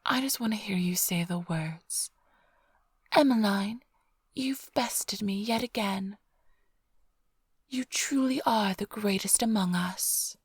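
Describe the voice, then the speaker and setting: Breathy, flirtatious vampire thief played by a woman. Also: mid-range voice, slow, long pauses, no echo. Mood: smug, teasing. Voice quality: breathy, husky, often whispered. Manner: teasing, purring, theatrically sly. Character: flirtatious female vampire thief, teasing smug rival. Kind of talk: ASMR roleplay, intimate close address to listener.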